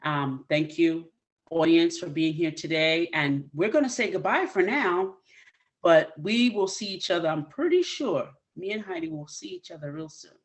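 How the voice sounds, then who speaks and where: A woman's voice: low-pitched, expressive, moderate pace. A woman hosting a podcast interview, upbeat and encouraging, turning playful when she urges listeners toward her guest. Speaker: podcast host. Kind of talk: casual interview chat.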